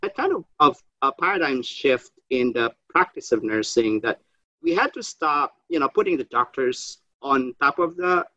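A man, a nurse speaking in a panel discussion and telling stories in an animated way. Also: medium pitch, moderate pace, no echo, lo-fi sound. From Philippines. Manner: animated storyteller, acts out quoted voices.

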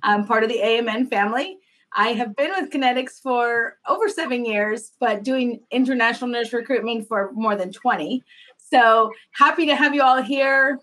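High-voiced chatty woman on a panel. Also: moderate pace, pushed and bright. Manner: chatty, repeats phrases, corrects herself. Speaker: sales manager, panel guest. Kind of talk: online panel talk.

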